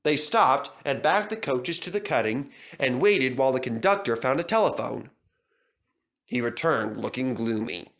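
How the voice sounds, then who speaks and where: A man's voice: medium pitch, expressive, normal speed, creaky, fairly bright tone. A man reading a scripted children's story as its narrator.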